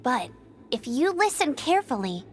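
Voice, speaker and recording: A woman voicing a spirited, brave young female scout, eager and confident, in an animated video game with music behind. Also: sits high, normal speed. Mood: determined. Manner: eager, chirpy, earnest.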